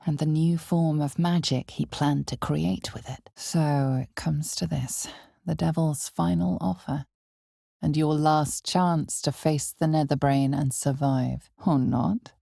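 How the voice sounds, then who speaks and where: A woman playing an ominous, knowing narrator of a dark fantasy video game, with a breathy voice and deliberate, dramatic storytelling. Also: low-pitched, steady tone, dry recording, clean studio sound, full character voice. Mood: ominous, measured. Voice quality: breathy, husky, often near a whisper.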